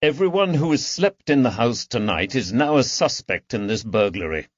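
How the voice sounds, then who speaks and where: Male reader as brisk detective, firm, authoritative, explanatory, dry lo-fi recording. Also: medium pitch, very animated, dry recording. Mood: businesslike. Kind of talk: audiobook character dialogue.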